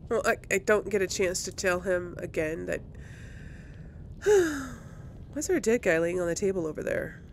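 Animated, creaky-voiced woman gamer. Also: medium pitch, moderate pace, long pauses, slight background noise. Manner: chatty, thinking aloud. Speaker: let's play host. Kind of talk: casual gameplay commentary.

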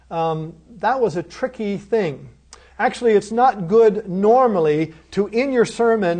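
A man's voice: on the high side, a little mellow. A man giving a lecture on preaching. He talks in an earnest, teacherly way and often asks the listeners rhetorical questions. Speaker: seminary lecturer.

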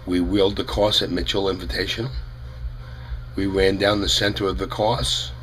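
A middle-aged man with a head cold and a fading voice, talking earnestly to a webcam in a small room, halting, with many fillers. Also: sits low, little pitch movement, fairly bright tone, a little hiss or hum, rough recording. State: head cold, losing his voice. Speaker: parent of a runner. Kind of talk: casual to-camera statement.

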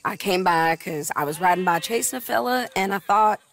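An actress playing a tough, plain-spoken female bounty hunter with a raspy voice and a rural American accent in a video game. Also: on the low side, brisk, fairly bright tone. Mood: apologetic, low. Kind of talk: video game cutscene acting.

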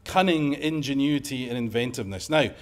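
A man's voice: mid-range voice, brisk. A middle-aged man from Scotland with a Scottish accent, giving a conference talk in a lecture room with wry, joking asides. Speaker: emergency medicine professor giving a lecture.

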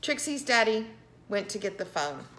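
A middle-aged woman reading a picture book aloud to children with expressive, dramatic emphasis. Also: medium pitch, moderate pace. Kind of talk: animated children's storybook read-aloud. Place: indoor living room with piano.

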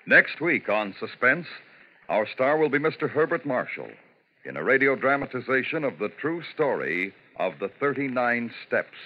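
A man's voice: medium pitch, big pitch swings, moderate pace. An American man announcing a radio show in a formal, dramatic 1950s style. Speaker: program announcer. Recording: muffled old radio recording. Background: loud orchestral music.

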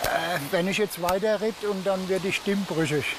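Older man, trucker, high voice, halting and sentimental, beach with wind noise. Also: fairly even intonation. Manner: hesitant, sentimental, trailing off. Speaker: long-haul truck driver. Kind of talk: halting, emotional interview answers. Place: outdoors on a beach. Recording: outdoor beach with noise behind.

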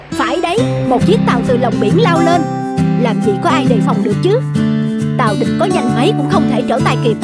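A woman voicing a curious, imaginative young male sailor in a cartoon, with an eager, wondering manner. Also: sits high.

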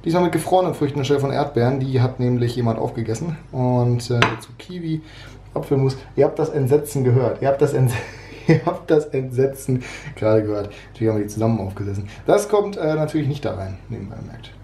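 A man's voice: medium pitch, fast. Young male vlogger in his twenties, self-mocking and chatty, slight cold, noisy arm's-length camera sound. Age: 20s. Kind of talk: casual weekly vlog chat. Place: home kitchen and inside a car. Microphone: handheld camera at arm's length.

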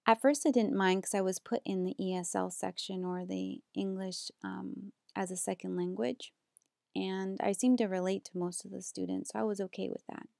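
A young woman from the United States, a graduate student reading a prepared slideshow presentation in a steady, earnest way. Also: medium pitch, moderate pace, vocal fry, dry recording, studio quality.

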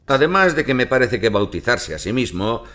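An actor playing a skeptical listener who questions a tale, in conversational audio drama style. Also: male voice, medium pitch, very animated, brisk, fairly bright tone. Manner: skeptical, interrupting.

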